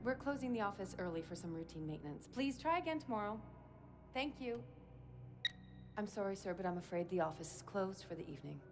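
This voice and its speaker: An actress playing a polite, businesslike office receptionist in a video game, courteous and formal. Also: female voice, mid-range voice, lively intonation, brisk, lightly acted. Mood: polite, brisk. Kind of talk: video game cutscene acting. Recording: clear background noise, office ambience.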